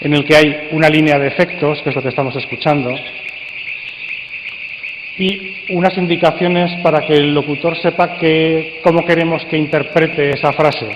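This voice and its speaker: A man's voice: on the high side, fairly even intonation, normal speed. Man from Spain presenting in an echoey hall. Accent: Spanish from Spain. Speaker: speaker and coordinator at a radio drama congress. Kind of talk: live talk to an audience, interactive presentation. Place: large indoor congress hall. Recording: dull, echoey hall recording with faint noise.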